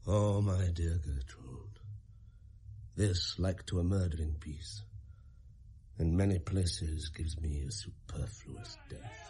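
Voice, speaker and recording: A man playing a grave, troubled middle-aged king with a husky voice, declaiming verse in a Shakespeare radio play. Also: low-pitched, takes it slowly, dark tone, heavily acted. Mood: brooding, oppressed.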